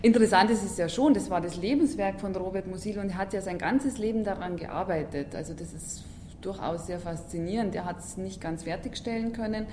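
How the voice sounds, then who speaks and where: A woman's voice: medium pitch, brisk. A woman giving a casual interview answer about literature. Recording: echoing room, faint background noise.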